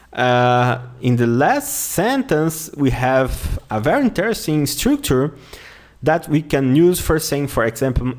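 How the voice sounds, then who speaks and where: A man with a Brazilian accent teaching an online lesson, going slowly, repeating words and often checking with "okay?". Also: medium pitch, a little mellow. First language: Portuguese. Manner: slow, repeats words and phrases often, says "okay?" a lot. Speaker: language teacher.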